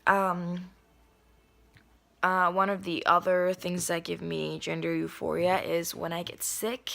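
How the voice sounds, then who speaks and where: A young adult nonbinary vlogger talks casually to the camera at home. The voice is a little hoarse and raspy, and the talk is earnest, full of ums and likes. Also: medium pitch, completely flat delivery, takes it slowly, a bit bright. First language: Spanish. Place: indoors at home.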